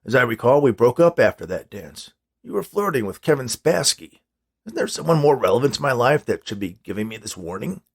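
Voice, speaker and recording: A man's voice: medium pitch, big pitch swings, brisk, creaky, husky, pitched above the performer's own voice, exaggerated voice. A man voicing a grumpy elderly misanthrope in a storybook reading, curt and sardonic. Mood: dismissive.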